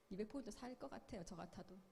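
A woman's voice: mid-range voice, gravelly, mellow, big-room sound, slight background noise. A middle-aged woman giving a sales seminar lecture, upbeat, explaining with questions and acted-out quotes. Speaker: business seminar speaker.

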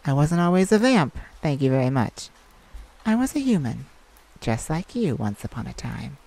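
A woman playing a caring, teasing vampire in an intimate ASMR roleplay, speaking gently and soothingly with sighs and pauses. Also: low-pitched, big pitch swings, vocal fry, heavily acted. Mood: playful, confiding. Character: caring, teasing, sympathetic vampire confiding in a hunter. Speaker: audio roleplay performer.

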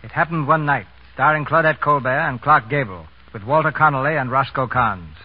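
A man's voice: mid-range voice, brisk, breathy edge. A man narrating a scripted 1930s radio drama as its host. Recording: muffled 1930s radio broadcast. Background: music.

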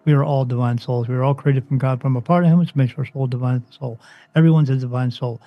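A man from New Jersey, a spirit medium interviewed as a guest, talking emphatically and insistently, his voice soothing and deep. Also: fairly even intonation, fast talker, no room sound, lo-fi sound. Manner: emphatic, insistent, swings up in pitch when stressing points. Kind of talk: interview, impassioned preaching monologue.

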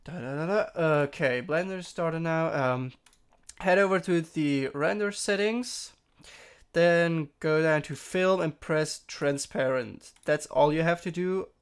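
Hoarse young man, casual tutorial. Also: on the high side, slow. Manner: rambling, many fillers and self-corrections. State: sick, throat-clearing voice. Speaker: tutorial streamer. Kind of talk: casual screen-recorded software tutorial. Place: home room.

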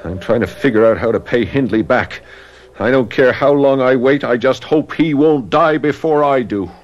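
An actor playing a brooding, resentful young servant in a radio drama, sullen and terse, on a muffled recording. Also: male voice, mid-range voice, moderate pace, natural voice. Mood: brooding, vengeful. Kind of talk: classic radio drama acting.

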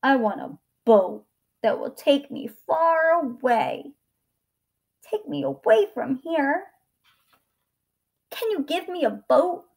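A woman's voice: high voice, expressive, slow pace, vocal fry, long pauses, a little mellow, pitched above the performer's own voice, heavily acted. A woman voicing a needy boy in a children's story, plaintive and demanding. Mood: yearning.

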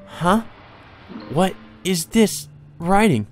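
A man's voice: high voice, expressive, extremely slow, faint music behind, pitched above the performer's own voice, full character voice. A man voicing a distraught, jealous young noblewoman from a visual novel, with a stammering, exclamatory delivery. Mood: puzzled, urgent. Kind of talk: visual novel character read aloud by a player.

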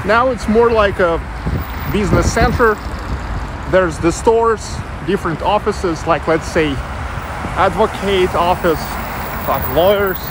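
A man with a Russian accent and a fairly high voice guides a live walking tour in English. He talks loosely, searching for words and fixing his own slips. He is recorded on a handheld camera mic out on a city street, with loud traffic all around him. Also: takes it slowly. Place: busy city street outdoors. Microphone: handheld selfie camera mic.

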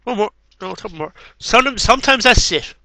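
A man's voice: high voice, expressive, fast talker, creaky, sharp tone, dry recording. A man in his thirties reading poetry as an amateur, with casual asides, into a headset mic in a small room. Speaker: amateur poetry reader and video maker. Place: small cluttered bedroom. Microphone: headset microphone.

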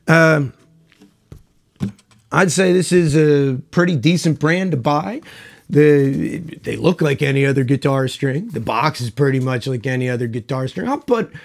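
A man's voice: a little high, vocal fry. A man in his forties, stuffed up and congested, chatting casually and rambling about guitar strings in his home music room on a lapel mic. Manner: rambling, folksy, hesitant restarts. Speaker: guitar reviewer. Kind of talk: casual product review chat.

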